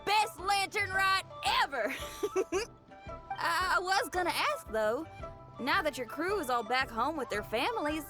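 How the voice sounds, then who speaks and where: A woman playing an exuberant, upbeat young woman in a video game, talking in an excitable, breezy, slangy way. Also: extremely high pitch, a little slow, over music.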